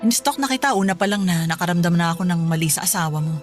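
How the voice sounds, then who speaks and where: A woman's voice: on the low side, animated, fast, faint music behind, natural voice. A woman playing a sly young mistress in a Filipino radio drama, feigning innocence with a smug, teasing manner. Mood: smug, defiant.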